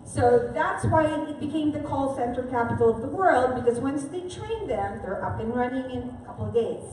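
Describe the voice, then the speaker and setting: A woman's voice: high voice, lively intonation, moderate pace, a little mellow, far from the mic, a little hiss or hum. Woman presenting in an echoey hall. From Philippines. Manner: conversational, checks in with audience. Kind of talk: live seminar presentation.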